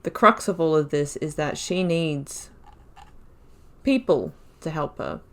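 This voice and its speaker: A woman talks through a video game as she plays, thinking aloud in a loose, chatty way. Her voice sits a little low, and she laughs often. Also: lively intonation, slow pace, fairly bright tone. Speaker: YouTuber playing a video game. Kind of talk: let's-play commentary.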